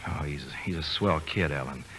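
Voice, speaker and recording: An actor playing a sincere, halting young college suitor in an old radio drama. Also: male voice, rumbling low, a bit bright, a little hiss or hum. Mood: awkward, stunned. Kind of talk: 1950s radio drama acting.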